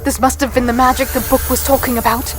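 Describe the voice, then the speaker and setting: A woman's voice: high voice, flat delivery, fast, faint music behind, natural voice. A woman playing an earnest human heroine, narrating her story in the first person with dramatic intensity for a fantasy audio drama. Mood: startled.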